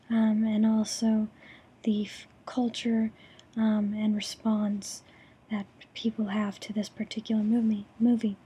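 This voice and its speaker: A young woman with a hoarse, raspy voice after throat surgery, presenting her course project over a screen recording with many ums and restarts. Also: on the high side, dark tone. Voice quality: raspy, breathy.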